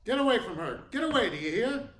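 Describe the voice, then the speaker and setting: A man's voice: very high voice, very animated, quick pace. A man playing a hard-boiled, wisecracking private detective in a radio play, sardonic, blunt and casual in manner. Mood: tough, commanding. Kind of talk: radio play acting in 1940s noir manner. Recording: roomy, echoey sound with faint noise.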